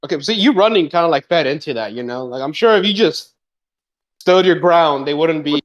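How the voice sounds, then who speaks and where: A man's voice: fairly high pitch, expressive, fairly quick. A young man co-hosting a podcast in casual chat, teasing and laughing often, with a slightly sore throat. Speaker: podcast co-host.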